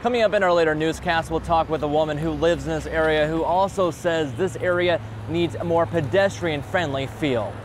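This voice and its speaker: A man's voice: a little high, moderate pace. An American man delivering a scripted TV field report as a reporter out in a city district. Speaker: TV news reporter. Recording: faint outdoor street noise.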